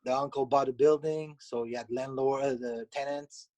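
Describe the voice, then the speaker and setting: A man from Canada, a pizzeria owner, answering interview questions in a halting way with many fillers. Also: mid-range voice, steady tone, a little mellow.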